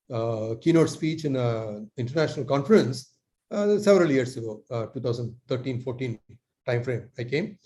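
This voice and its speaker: A man from India giving an earnest online talk as lecturer, pausing often with fillers. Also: mid-range voice, warm tone. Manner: earnest, many filler pauses.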